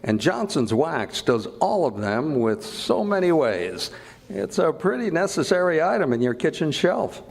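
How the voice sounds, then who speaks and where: Lively, chummy radio announcer played by a man. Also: on the high side, unhurried, gravelly, exaggerated voice. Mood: eager pitch. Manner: breezy, chummy. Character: chummy, eager radio announcer pitching sponsor's wares. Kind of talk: old-time radio comedy acting.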